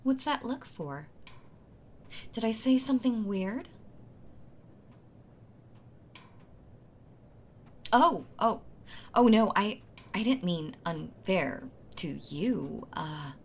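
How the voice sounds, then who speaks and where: A woman's voice: medium pitch, lively intonation. A woman playing a possessive yandere wife in an intimate audio roleplay, doting and condescending, with a sweetly menacing manner. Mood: flustered. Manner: slow, coddling, condescending, with sighs and soft laughs.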